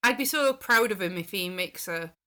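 A woman's voice: medium pitch, expressive, normal speed, pushed and bright. A woman talking informally as a panellist on a podcast. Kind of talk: casual chat.

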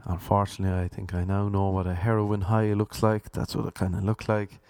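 Cork Irish man, young, self-mocking deadpan, dry close sound, low warm voice. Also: fairly quick, no echo. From Ireland. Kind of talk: casual storytelling monologue.

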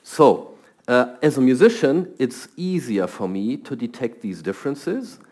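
A man's voice: mid-range voice, lively intonation, measured pace, a little mellow. A middle-aged man from Germany with a German accent, a scientist answering interview questions thoughtfully and often repeating words as he starts. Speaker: guest scientist.

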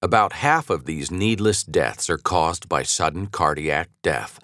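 A man with a low voice narrates nonfiction in an assured, articulate manner. His intonation moves with plenty of variety while the delivery stays measured. The recording is very dry, with a close studio sound. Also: expressive, bright, forceful tone, no echo. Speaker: audiobook narrator. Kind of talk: scripted nonfiction audiobook narration.

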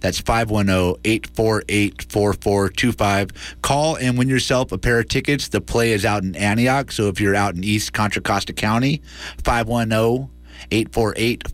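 A man's voice: lowish voice, moderate pace. A man hosting and producing radio, announcing in a casual, live style.

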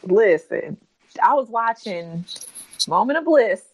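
A playful woman co-host who tells lively stories and acts out her reactions. Her pitch swings widely, jumping up high and dropping back down. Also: slow, highs cut off. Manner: animated, playful storyteller. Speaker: podcast co-host. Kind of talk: casual chat about wrestling.

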